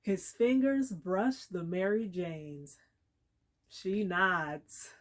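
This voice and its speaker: A woman giving a dramatic literary reading, measured and expressive, with deliberate pauses. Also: on the low side. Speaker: actor reading aloud.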